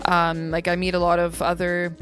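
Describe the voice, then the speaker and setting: A woman's voice: lowish voice, completely flat delivery, moderate pace, music in the background. A young American woman, a singer-songwriter, chatting thoughtfully and agreeably as an interview guest. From United States. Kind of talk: casual interview chat.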